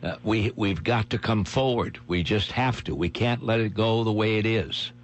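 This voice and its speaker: A man hosting a talk radio interview, speaking in a halting, emphatic way and repeating his phrases. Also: lowish voice. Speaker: radio host. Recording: clear background noise and hum.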